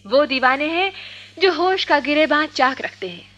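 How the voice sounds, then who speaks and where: High, bright, theatrical playful girl. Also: sing-song, normal speed. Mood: mock-poetic, playful. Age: young adult. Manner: teasing, mock-poetic, dramatic. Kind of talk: Hindi radio play acting.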